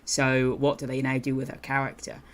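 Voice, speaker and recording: British male co-host, monotone, steady mid pitch, conversational. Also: fairly quick. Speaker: podcast co-host. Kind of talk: casual wrestling podcast chat.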